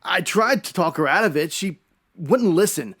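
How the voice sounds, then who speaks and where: A man's voice: high voice, lively intonation, normal speed, a bit bright, breathy edge, full character voice. A man playing a nervous, evasive husband under suspicion in a radio detective drama, hesitant and pleading. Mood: anguished pleading. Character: nervous, evasive husband cornered as a murder suspect. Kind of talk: old-time radio detective drama acting.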